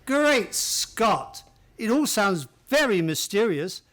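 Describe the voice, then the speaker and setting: Radio drama loyal gentleman sidekick: high male voice, very expressive, inquisitive, mildly hesitant. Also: slow pace, heavily acted. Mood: intrigued. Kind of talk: radio play acting.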